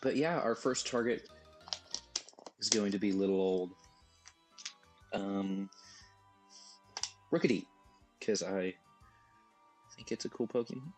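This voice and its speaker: A man with a hoarse, worn-out voice, rambling goofily through a casual live stream while he plays a game. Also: lowish voice, takes it slowly, long pauses, music in the background. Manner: rambling, goofy, jokey asides. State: hoarse, losing his voice.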